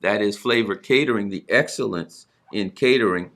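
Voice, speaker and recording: Low-voiced man hosting a talk broadcast. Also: normal speed, fairly bright tone. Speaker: broadcast host. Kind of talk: informal hosting, sponsor plugs and interviewing.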